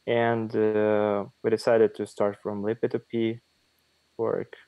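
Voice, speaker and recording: A man giving a halting status update for his developer team on a video call, with many fillers. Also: fairly low pitch, monotone, smooth, no echo, lo-fi sound. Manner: slow, hesitant, many fillers.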